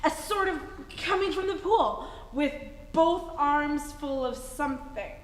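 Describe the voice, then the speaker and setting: An eager, teasing young girl acted by a woman in vintage radio comedy. The voice is high and coy, as if sharing gossip, and carries the long echo of a stage hall. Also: animated, measured pace. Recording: echoey hall, live stage reenactment.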